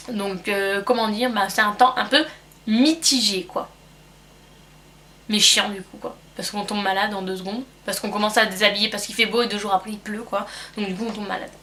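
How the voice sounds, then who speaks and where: A woman's voice: fairly high pitch, bright, forceful tone. A young French woman with a cold chatting at home as a beauty vlogger, rambling and hesitant with lots of fillers. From France. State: has a cold. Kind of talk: casual chat answering a tag.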